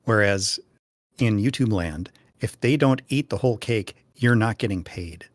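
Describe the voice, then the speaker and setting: Male panel guest, analytical but informal, somewhat deep pitch, dry recording. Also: lively intonation, a little slow, no echo. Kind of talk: casual explanatory discussion.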